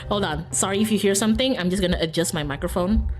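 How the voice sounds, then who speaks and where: A woman's voice: medium pitch, fast. A woman livestreaming a visual novel, reading the game text aloud and breaking off into playful, self-teasing, casual asides to viewers. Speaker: streamer playing a visual novel. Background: faint game music.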